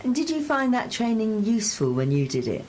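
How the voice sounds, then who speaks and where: A woman's voice: a little high, big pitch swings, brisk, darkish tone, a little hiss or hum. A woman hosting a conversational radio interview in a chatty, encouraging way. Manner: encouraging, chatty, uses tag questions.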